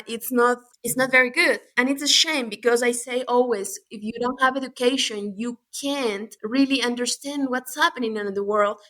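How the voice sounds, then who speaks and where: Bright-voiced woman. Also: on the high side. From Galapagos Islands, Ecuador. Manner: lively, many restarts and fillers. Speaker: guest. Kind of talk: casual interview talk.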